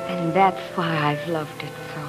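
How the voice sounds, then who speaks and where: A woman's voice: sits low, fairly even intonation, unhurried, music in the background, low-quality recording. An actress playing a devoted, wistful young woman in love, speaking earnestly in 1940s radio drama style. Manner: earnest, romantic delivery.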